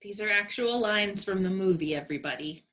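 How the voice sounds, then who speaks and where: A woman's voice: mid-range voice, a little fast, a bit bright, poor audio. A woman comedian delivering sassy, emphatic and theatrical scripted narration.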